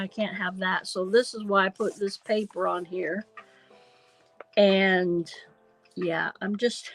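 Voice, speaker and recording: A woman hosting a craft livestream, nasal from a sinus cold, thinking aloud slowly and hesitantly with many ums. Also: medium pitch, fairly bright tone, poor audio. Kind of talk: casual craft livestream chat.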